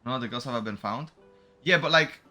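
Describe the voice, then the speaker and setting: A young man streams a game in a bright, forward voice, thinking out loud in loose, slangy language. His pitch sits in an ordinary middle range, and faint music plays behind him. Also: fast talker. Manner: informal, slangy, musing aloud. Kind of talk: casual gameplay commentary, reading dialogue aloud.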